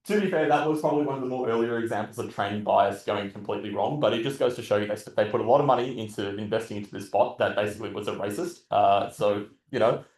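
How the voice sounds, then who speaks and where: A man with a loud voice gives a casual conference talk at a podium microphone, blunt and full of filler sounds and the odd swear. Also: fairly low pitch, quick pace, few pauses. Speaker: conference speaker. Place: large conference hall. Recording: roomy hall echo.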